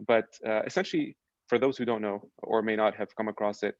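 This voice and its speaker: A young man talks fast and fairly flat in a low voice, chatty and relaxed, restarting his sentences often. The dry, dull sound of a video call carries him. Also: no room sound. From Lebanon. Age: young adult. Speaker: podcast guest. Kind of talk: casual chat.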